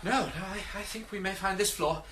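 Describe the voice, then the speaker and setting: An actor playing a gentle, inquisitive priest detective in a radio drama, hesitant and courteous in manner. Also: male voice, sits high, brisk, slight background noise. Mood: puzzled, curious. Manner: hesitant, courteous, mildly probing.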